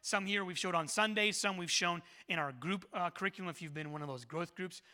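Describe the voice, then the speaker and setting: A middle-aged man preaching a sermon as pastor, energetic and earnest, repeating his key phrases. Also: sits high, brisk.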